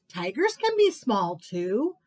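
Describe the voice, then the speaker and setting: A woman's voice: medium pitch, wildly swooping pitch, takes it slowly, darkish tone, exaggerated voice. A woman voicing a small, stubborn mouse who insists he is a tiger, in a picture-book read-aloud. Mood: stubborn boasting. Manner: stubborn, defiant.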